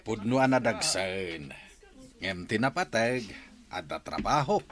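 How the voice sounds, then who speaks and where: Older filipino construction worker in a radio drama, humble and earnest, really animated pitch. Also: male voice, measured pace. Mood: earnest friendly. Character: humble, hardworking older construction laborer neighbor.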